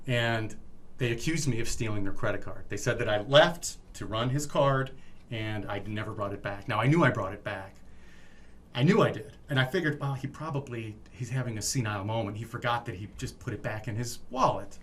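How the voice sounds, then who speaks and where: A man's voice: medium pitch, brisk, a bit bright. A man telling casual anecdotes in conversation, acting out the dialogue as he goes. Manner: acts out dialogue while storytelling. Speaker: storytelling guest, former waiter.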